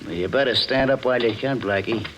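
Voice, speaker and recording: A man's voice: medium pitch, fast, a bit bright, close to an everyday voice. A man acting a callous gangster henchman in 1940s radio style, tough, curt and slangy. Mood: menacing. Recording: old radio recording with faint noise.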